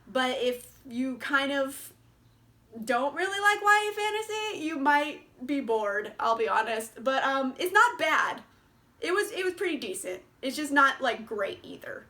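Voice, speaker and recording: A woman's voice: high voice, sing-song, unhurried, bright, forceful tone. A young woman casually reviewing books in a chatty vlog, laughing often and swearing freely. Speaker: book reviewer.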